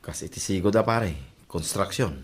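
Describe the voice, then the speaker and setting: A man's voice: low-pitched, a little fast, husky. A man with an Ilocano accent acting a familiar, persuasive friend in an audio drama. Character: persuasive, familiar buddy offering construction work.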